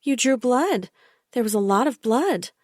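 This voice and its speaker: A woman's voice: a little high, lively intonation, a little slow, a little mellow, dry recording, studio quality, pitched above the performer's own voice. A woman voicing a controlling woman caretaker who talks in a thick, sickly sweet, cooing voice. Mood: cloying.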